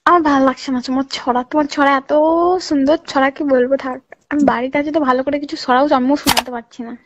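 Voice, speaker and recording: A woman's voice: high-pitched, fairly even intonation, racing, no echo. A woman chatting on a phone call with a sassy, teasing manner. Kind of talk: casual phone banter. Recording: dull, muffled phone call.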